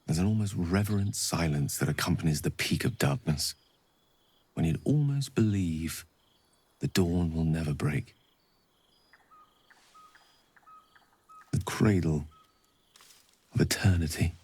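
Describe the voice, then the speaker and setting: A romantic, melancholy wizard in a fantasy video game. His low voice is intimate and measured, with eloquent, poetic phrasing and a very dry, close sound. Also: darkish tone, husky, no room sound. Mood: wistful, romantic.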